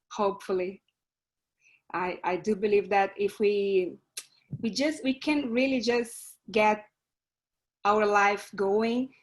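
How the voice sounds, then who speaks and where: Brazilian lady introducing herself on a video call, earnest, bit hesitant, restarts sometimes. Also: mid-range voice. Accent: Brazilian Portuguese. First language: Portuguese. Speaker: club member introducing herself. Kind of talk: self-introduction speech. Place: online meeting.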